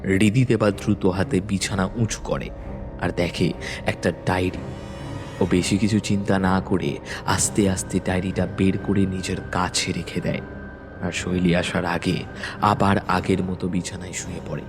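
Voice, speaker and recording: A man with a very low voice narrates a rural horror tale. His delivery is measured and builds suspense. Clear music and insect sounds run underneath. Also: level tone.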